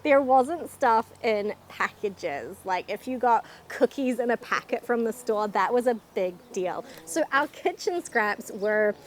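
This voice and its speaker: A woman's voice: on the high side, expressive, a little slow, few pauses, a little hiss or hum. A middle-aged woman with a New Zealand accent, talking in a chatty, opinionated, down-to-earth way as she explains things in a casual vlog. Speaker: video host, chicken keeper.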